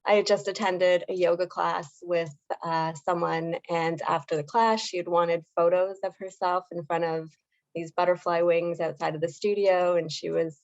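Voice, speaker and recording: A woman journalist with a hoarse voice, giving clear and articulate interview answers. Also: fairly low pitch, steady tone, normal speed, highs cut off. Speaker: journalist guest.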